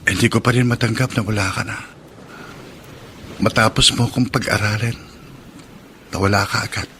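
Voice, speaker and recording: A man's voice: fairly low pitch, steady tone, normal speed, bright, forceful tone, slight background noise. A man playing an earnest, lonely young man carrying grief in a Filipino radio drama, with a Filipino accent. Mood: grieving.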